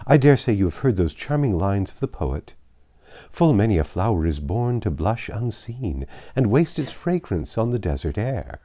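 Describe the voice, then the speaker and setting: A man's voice: on the low side, warm tone. A man voicing a pompous, gushing married society woman in a storybook reading, effusive, condescending and emphatic. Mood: affected, grandiose. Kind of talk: audiobook character reading.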